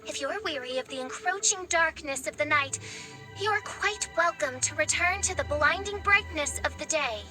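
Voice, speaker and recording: A woman's voice: sits high, moderate pace, lightly acted. A woman playing a haughty teenage self-styled princess in a video game, speaking in pompous, archaic, theatrical phrases. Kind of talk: video game character acting. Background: game music.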